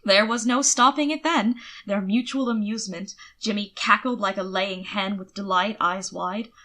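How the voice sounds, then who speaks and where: A woman narrates a piece of fiction in an expressive, scripted audiobook style. Her voice is bright and forward. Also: medium pitch, moderate pace.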